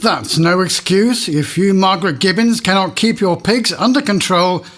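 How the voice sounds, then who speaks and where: High-pitched pompous old-time judge. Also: male voice, moderate pace, fairly bright tone. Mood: indignant, pompous. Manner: pompous, stern, declamatory. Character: pompous, obtuse male manorial court judge. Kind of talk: comic audio drama acting.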